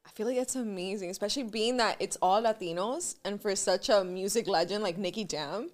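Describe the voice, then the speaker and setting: A woman's voice: mid-range voice, a little fast. A Cuban woman hosting an interview in a chatty way, dropping Spanish phrases into English. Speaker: podcast host. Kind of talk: casual interview chat.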